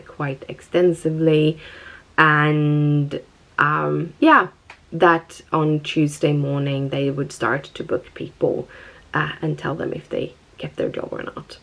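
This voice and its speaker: Woman, Swedish accent, low-pitched, very creaky voice, chatty informal vlog talk. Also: poor audio. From Stockholm, Sweden. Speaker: vlogger. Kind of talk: casual personal vlog talk.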